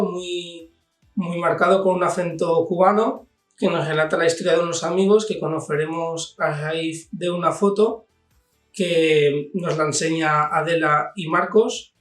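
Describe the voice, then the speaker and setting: A man with an accent from Spain, giving an informal book review as a channel host. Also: fairly high pitch. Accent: Spanish from Spain. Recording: echoey room, distant mic, music behind.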